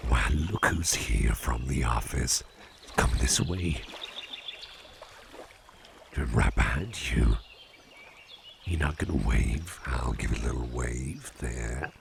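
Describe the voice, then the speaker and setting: Man acting a very deep, gravelly soothing boss. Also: noisy background, heavily acted. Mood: curious, playful. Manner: soft, soothing, unhurried, often dropping near a whisper. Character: calm, playful businessman boss hypnotizing his employee with a pendant. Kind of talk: slow, intimate ASMR roleplay.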